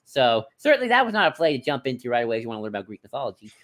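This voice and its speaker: A man's voice: medium pitch, big pitch swings, rapid-fire, a bit bright. A man co-hosting a podcast in casual chat, informal and stop-start, often hedging and slipping into asides. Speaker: podcast co-host. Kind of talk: casual chat, discussing book picks.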